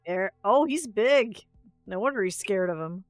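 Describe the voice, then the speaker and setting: A woman's voice: medium pitch, lively intonation, measured pace, no echo, clean studio sound. Lady on a chill stream, chatty and playful, reading along, music playing behind her. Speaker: streamer reading a visual novel aloud. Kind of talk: casual livestream read-along and chat.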